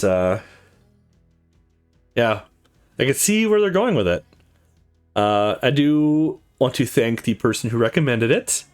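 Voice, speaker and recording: Male game streamer, casual and colloquial, very lively swooping pitch, faint music beneath. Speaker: let's play host. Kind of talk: casual game commentary and read-aloud of visual novel text. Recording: music under the voice.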